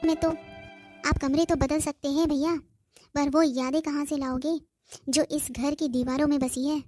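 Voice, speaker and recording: A woman's voice: high voice, steady tone, normal speed, darkish tone, soft background music, close to an everyday voice. A woman voicing an earnest, sentimental young daughter in a cartoon family drama, pleading and reproachful. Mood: hurt, reproachful. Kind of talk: amateur cartoon voice acting.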